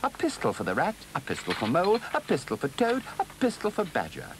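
A man voicing an anxious, fussy, busy male rat in an animal storybook, excitable and precise. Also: sits high, moderate pace, background noise, voice pushed up. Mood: busy, excited.

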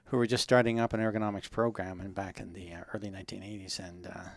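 Interview guest, man in his 60s, from Ontario; measured, halting delivery, dry close sound. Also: deep voice, steady tone, no echo. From Ontario, Canada. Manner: measured, hesitant, many ums and uhs. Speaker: guest, ergonomist. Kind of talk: interview answers.

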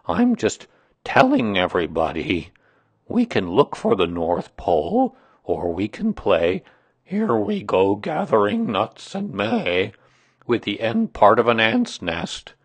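A man's voice: fairly low pitch, huge pitch swings, takes it slowly, husky, no room sound, exaggerated voice. A man voicing a gloomy, put-upon donkey who grumbles in a plodding, aggrieved way for a children's storybook. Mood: sullen, resigned. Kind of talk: children's storybook character reading.

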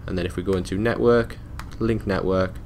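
A man presenting a technical support tutorial, talking through software steps in a casual, informal, matter-of-fact way. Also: low voice, steady tone, brisk, a little hiss or hum. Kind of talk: casual step-by-step software walkthrough.

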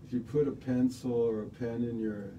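A middle-aged man talking casually in a small radio studio, relaxed and rambling with many fillers. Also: medium pitch, moderate pace, a little hiss or hum. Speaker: studio guest musician. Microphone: studio mic on stand, headphones on. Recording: slightly distant mic.

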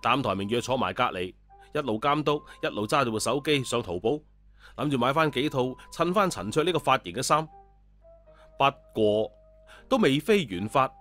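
A man's voice: medium pitch, expressive, moderate pace, fairly bright tone, soft background music. A man narrating a story in colloquial style.

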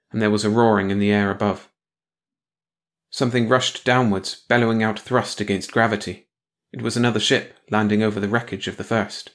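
A man with a slightly low voice narrates a story. He reads from a script as an audiobook narrator, in the style of a bedtime read-along. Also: a bit bright.

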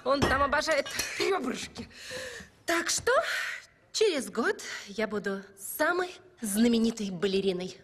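A woman voicing a haughty, spoiled rich girl in an animated film dub, sneering and boastful. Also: fairly high pitch, measured pace, slightly rough, heavily acted.

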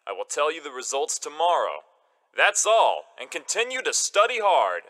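A man's voice: fairly high pitch, huge pitch swings, moderate pace, sharp tone, full character voice. A man playing a formal, encouraging school principal making an announcement in an audio drama.

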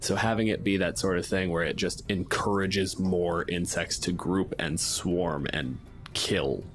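A man's voice: sits low, measured pace, fairly bright tone, soft background music. A man hosting a podcast, casually discussing and improvising scenes as a game master.

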